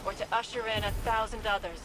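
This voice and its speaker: A stern woman played by an actress as a game's field commander, mid-pitched and no-nonsense. Her delivery is clipped and serious, with music clearly audible behind. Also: fairly even intonation, fast, lightly acted, low-quality recording. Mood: grave, urgent.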